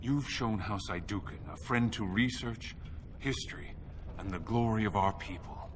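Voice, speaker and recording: An actor playing a principled, stubborn but humble historian in a fantasy game, speaking formally and earnestly. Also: male voice, fairly low pitch, moderate pace, noisy background, natural voice. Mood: grateful. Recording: music behind the voice.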